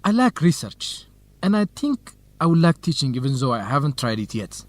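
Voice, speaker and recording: A man's voice: mid-range voice, big pitch swings, moderate pace, dry recording, lightly acted. A young man playing an eager, nervous journalism student in an educational radio drama, speaking earnest, carefully enunciated English.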